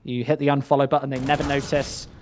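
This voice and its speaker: A man's voice: mid-range voice, little pitch movement, fast, background noise. A man doing live play-by-play esports commentary, joking and wry in his banter. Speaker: esports caster.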